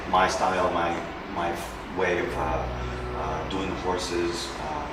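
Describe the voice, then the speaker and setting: A man in his fifties from New York, a painter talking through his paintings at a gallery, halting, with many pauses and fillers. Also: low-pitched, level tone, gravelly, heavy background noise. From New York, USA. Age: 50s. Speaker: painter presenting his work. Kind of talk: gallery walk-through talk. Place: indoor art gallery. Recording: echoey gallery from a distance, music behind. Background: music.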